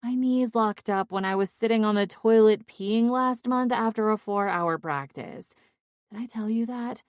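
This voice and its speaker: A woman's voice: on the high side, dry recording, clean studio sound, exaggerated voice. A woman voicing a drunk, giggly young former cheerleader rambling dramatically and swearing on the phone in an audiobook.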